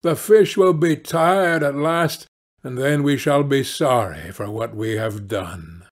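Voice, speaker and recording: A man's voice: medium pitch, takes it slowly. A man voicing a meek, worried, henpecked fisherman in a fairy-tale audiobook, with a pleading, plaintive manner. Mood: sorrowful.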